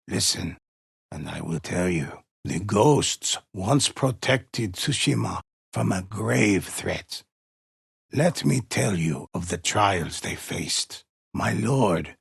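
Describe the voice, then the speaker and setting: A man playing a grave, mysterious storyteller in a samurai video game, telling demon legends slowly and reverently in a gravelly, husky voice. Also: lowish voice, dry recording, clean studio sound, full character voice. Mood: inviting, solemn. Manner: slow, ominous, deliberate, reverent.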